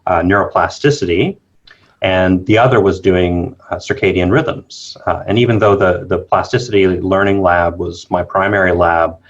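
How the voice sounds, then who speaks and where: A man's voice: low-pitched, highs cut off. A man from Canada, a scientist being interviewed remotely, answering thoughtfully with many fillers and restarts. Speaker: guest, circadian biologist. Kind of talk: casual interview talk.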